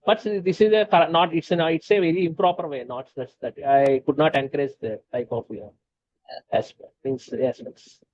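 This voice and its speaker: Halting Telugu-speaking man, fairly high voice. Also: fairly even intonation. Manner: halting, many fillers and restarts. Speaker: conversation guest. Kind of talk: casual conversation. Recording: dull lo-fi sound.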